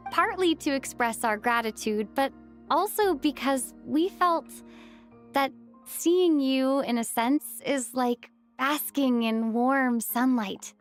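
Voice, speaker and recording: A woman voicing a polite, earnest young traveler heroine in a video game, gentle and sincere. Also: sits high, slow pace, soft background music. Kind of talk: video game character acting.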